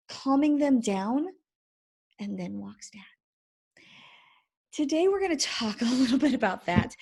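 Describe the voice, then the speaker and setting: A woman in her forties with a croaky, hoarse voice, hosting a chatty faith-based parenting vlog, laughing often and drifting into tangents. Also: sits high, a little mellow. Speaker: vlog host and ministry founder. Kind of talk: casual faith-based parenting talk to camera. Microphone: microphone she sometimes bumps.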